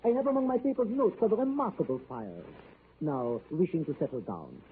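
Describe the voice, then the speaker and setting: Polite French valet in a 1930s radio play, played by a man, high and animated, lo-fi broadcast with light hiss. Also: moderate pace, close to an everyday voice. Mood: earnest. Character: French manservant, eager, polite and deferential.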